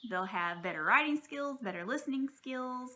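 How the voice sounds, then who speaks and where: Bright-voiced woman, encouraging teacher. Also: high voice, animated, moderate pace. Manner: encouraging, reassuring. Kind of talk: informal spoken advice talk to parents.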